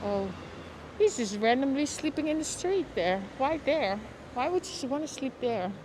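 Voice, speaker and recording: A woman's voice: high voice, lively intonation, moderate pace. A woman with a Dutch accent hosting a live walking tour outdoors, chatting with her viewers and reacting to what she passes. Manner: chatty, reacts aloud, talks to viewers by name. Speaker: livestream walking-tour host. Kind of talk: casual live walking commentary. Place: outdoors on city streets. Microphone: lapel mic while walking. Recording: street noise behind.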